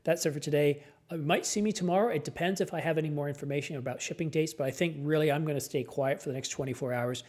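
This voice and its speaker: A man's voice: a little high, fast talker, hardly any pauses, darkish tone. A tired man hosting a late-night live stream, chatting casually and answering viewer comments as his voice starts to go.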